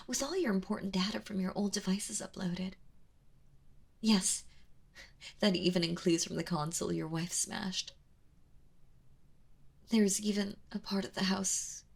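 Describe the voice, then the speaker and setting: A woman playing a kind but obsessive, lovesick yandere captor in an intimate ASMR audio roleplay, speaking softly and hesitantly in a pleading, breathy way. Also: on the low side. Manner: hesitant, pleading, soft-spoken with breathy drops into whispers.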